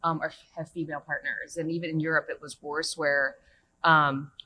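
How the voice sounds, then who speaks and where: A woman's voice: low voice, flat delivery, normal speed, bright, forceful tone, a little hiss or hum, rough recording. A woman on a conference panel, speaking earnestly and emphatically. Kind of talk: panel discussion. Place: conference stage.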